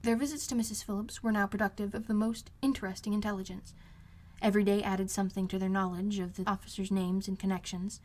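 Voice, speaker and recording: Woman narrating a classic novel, mid-pitched. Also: steady tone, fast talker, darkish tone. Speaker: amateur audiobook reader. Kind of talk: scripted literary narration.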